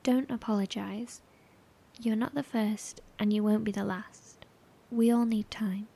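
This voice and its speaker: An actress playing a calm, reassuring woman who takes down a survivor's testimony in a horror audio drama, gentle and encouraging. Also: medium pitch, a little slow, gravelly, husky. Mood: gently encouraging.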